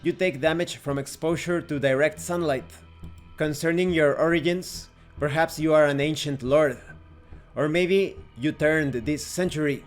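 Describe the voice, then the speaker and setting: A man's voice: on the high side, measured pace, faint music behind. A man narrating a scripted tabletop game review in a methodical, explanatory way, as the host.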